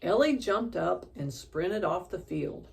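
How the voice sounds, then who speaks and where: A woman reads a children's story aloud with expression. Her voice is slightly low and creaky, and she takes her time. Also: lively intonation, slow.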